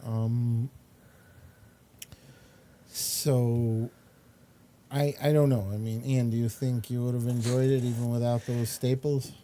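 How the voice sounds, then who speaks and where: A man's voice: mid-range voice, flat delivery, slow pace, pauses often, warm tone, slight background noise. A man hosting a casual talk show, chatting about entertainment in a hesitant, rambling way. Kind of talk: casual chat, reviewing entertainment.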